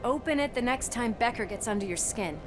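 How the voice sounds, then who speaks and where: An actress playing a tough, caring police partner in a video game, speaking in a wry, earnest and informal way. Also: female voice, fairly high pitch, expressive, brisk, over music, natural voice. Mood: earnest, encouraging. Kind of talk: naturalistic video game acting.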